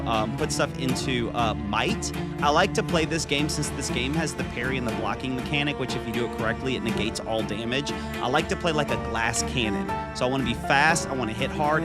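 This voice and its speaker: A man in his thirties chatting casually with viewers while playing a game on a live stream, upbeat and talkative. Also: medium pitch. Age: 30s. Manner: chatty, upbeat, reads chat aloud and talks to viewers. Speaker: gaming streamer.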